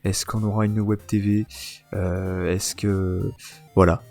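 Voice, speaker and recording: A man's voice: low voice, flat delivery, slow, vocal fry, a little mellow. A man hosting a casual podcast, chatting informally and often amused. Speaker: podcast host. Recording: music playing behind the voice. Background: music.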